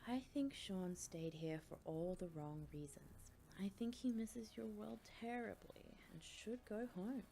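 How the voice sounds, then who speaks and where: A woman playing a stern, disapproving academy professor in an audiobook drama, breathy and creaky, speaking in a measured, grave way. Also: medium pitch, warm tone, low-quality recording.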